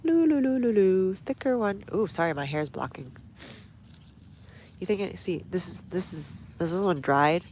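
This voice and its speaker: A low-voiced woman with a stuffy nose, chatting casually to viewers while she works in her outdoor garden. She reads comments aloud and talks through each step as she goes. Faint outdoor ambience sits under her phone-camera audio. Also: animated, moderate pace, a little mellow, slight background noise. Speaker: gardening livestream host.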